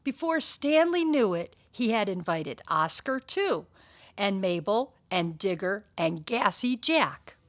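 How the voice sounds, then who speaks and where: A woman reading a children's picture book aloud, with expressive, deliberate and emphatic storytelling delivery. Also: mid-range voice.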